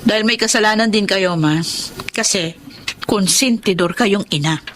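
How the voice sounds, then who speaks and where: A woman's voice: mid-range voice, normal speed, a bit bright, a little hiss or hum, natural voice. A woman playing an unyielding, accusing young wife in a radio drama. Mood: bitter, accusing. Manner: curt, accusing. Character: bitter, unyielding aggrieved wife. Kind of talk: Tagalog radio drama acting.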